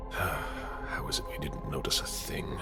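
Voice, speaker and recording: An actor playing a stern, protective and menacing vampire enforcer in a video game, speaking in a grave, commanding, gravelly way. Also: male voice, a bass voice, slow pace, over music. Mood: grave, loyal. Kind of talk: dramatic video game acting.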